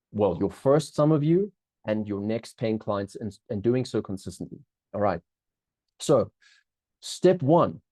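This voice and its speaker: A man's voice: mid-range voice, dark tone, no room sound. A middle-aged man with a South African accent, hosting a live online coaching masterclass in an upbeat, chatty way, often restarting phrases and checking in with his audience.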